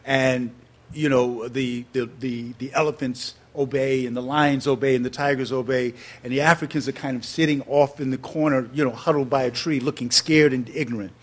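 A man in his sixties from New York City tells long stories to an audience as an interview guest. His voice sits at an ordinary pitch, and he switches between lively, laughing bursts and slow, reflective stretches. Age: 60s. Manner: animated storyteller, laughs often, drops into slower reflective passages. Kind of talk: storytelling before an audience.

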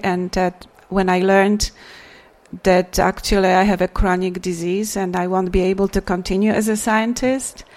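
A middle-aged woman from Poland with a Polish accent, a native Polish speaker, answering audience questions as a poet in a relaxed, reflective way with soft laughs. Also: medium pitch. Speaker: poet and translator. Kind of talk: Q&A after a poetry reading.

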